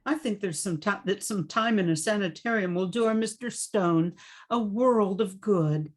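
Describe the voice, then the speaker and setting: A woman's voice: mid-range voice, normal speed, close to an everyday voice. A woman playing a dry, skeptical woman in charge in a radio play. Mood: brisk, dismissive.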